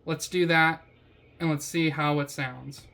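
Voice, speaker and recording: Casual, low-pitched woman reviewing gadgets. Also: steady tone, unhurried, vocal fry, fairly bright tone. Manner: wry, slangy, easily amused. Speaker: product reviewer, vlog host. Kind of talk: casual product review chat.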